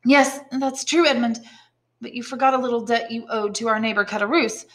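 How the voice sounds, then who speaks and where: A woman's voice: fairly high pitch, flat delivery, a little fast, fairly bright tone, pitched above the performer's own voice, close to an everyday voice. Woman reading as a frail, meek old father. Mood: reluctant, confessing. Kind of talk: audiobook character dialogue.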